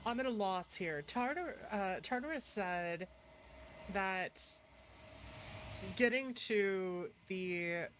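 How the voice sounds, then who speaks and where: A woman's voice: mid-range voice, expressive, slow pace, a bit bright, a little hiss or hum. A woman streaming a game with casual commentary, hesitantly thinking aloud and reading choices out. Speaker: streamer playing a visual novel. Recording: music playing behind the voice. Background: game music.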